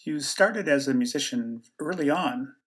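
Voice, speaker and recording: A man's voice: medium pitch, lively intonation, moderate pace, breathy edge. A man hosting a podcast, asking direct, probing questions. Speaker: podcast host. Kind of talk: podcast interview.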